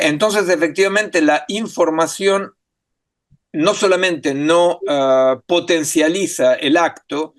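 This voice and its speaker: Man lecturing with many tag questions. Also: a little high. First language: not Spanish. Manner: thinking aloud, many fillers and tag questions. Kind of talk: academic talk.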